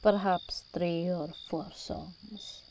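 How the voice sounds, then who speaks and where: A woman's voice: fairly low pitch, fairly even intonation, drawn-out words, darkish tone, a little hiss or hum. A woman playing a regal, seductive demon princess in an ASMR roleplay, theatrical and teasing, commanding yet tender with her endearments. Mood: intrigued, teasing.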